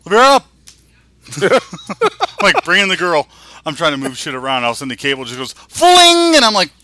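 A man's voice: wildly swooping pitch, normal speed, pushed and bright. A man in his forties co-hosting a podcast, talking in a casual, scattered, rambling way with a lot of swearing. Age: 40s. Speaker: podcast co-host. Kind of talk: casual, unscripted chat. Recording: faint rumbling background noise.

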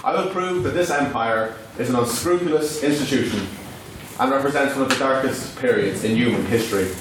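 A man's voice: mid-range voice, a little fast. A young man whose native language is Irish gives a formal maiden speech at a debating society, in a declamatory, rhetorical manner. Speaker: debate speaker. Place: large echoey debating hall. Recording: echoey hall, distant mic, noisy.

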